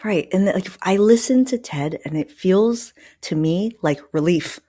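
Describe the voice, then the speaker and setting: A woman with a slightly low voice hosts a podcast in a casual, conversational style. She is informal and emphatic, and the sound is dry and free of room echo. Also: lively intonation, unhurried, mellow. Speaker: podcast host.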